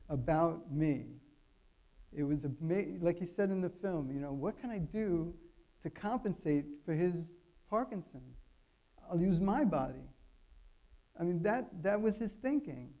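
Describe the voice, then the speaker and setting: A man with a notably high voice and a warm, dark-edged timbre talks informally from a stage. He builds his thoughts in short, halting phrases, often repeating a word as he goes. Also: poor audio. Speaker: guest speaker. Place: expo stage.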